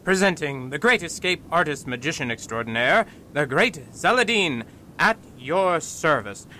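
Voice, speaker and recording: A man's voice: expressive, normal speed, sharp tone. A man playing a grandiose, eager amateur stage magician in a radio play, announcing himself theatrically. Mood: triumphant. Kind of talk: radio mystery acting. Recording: faint rumbling background noise.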